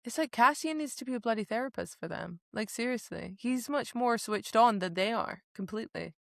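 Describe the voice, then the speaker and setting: A woman's voice: on the high side, animated, brisk, creaky, no echo, clean studio sound. A woman hosting a podcast, chatting casually and emphatically about books with gushing enthusiasm. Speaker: podcast host. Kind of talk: casual book discussion chat.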